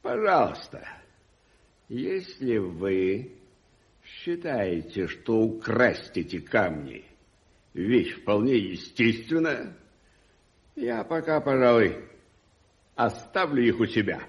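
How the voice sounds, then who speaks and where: Jovial older rich gentleman, low swooping delivery, condescending, opinionated, radio drama, dull muffled recording. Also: very animated, slow pace, gravelly, pauses often, heavily acted. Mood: sardonic. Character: wealthy older uncle, jovial, opinionated, self-assured gentleman. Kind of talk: radio play acting.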